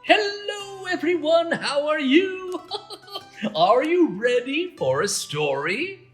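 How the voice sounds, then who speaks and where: A woman narrating children's stories in a theatrical, expressive way, with drawn-out phrasing, gasps and chuckles. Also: sits high, soft background music. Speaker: children's storyteller. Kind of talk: animated storybook narration for young children.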